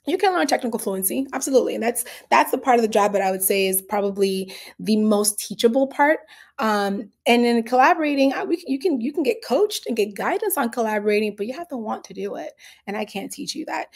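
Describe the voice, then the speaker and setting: A woman's voice: fairly high pitch, animated, brisk. A woman from the Dominican Republic giving an earnest, candid summit talk, with frequent fillers and restarts. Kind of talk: conversational online presentation.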